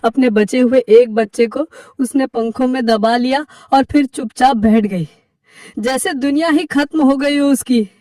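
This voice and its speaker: Woman doing a caring male parrot, urgent, emotional, high voice, distant mic, faint hiss. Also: measured pace, mellow, no room sound, studio quality. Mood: urgent, grieving. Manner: urgent, emotional storytelling, warning. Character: kindly male parrot, worried neighbor.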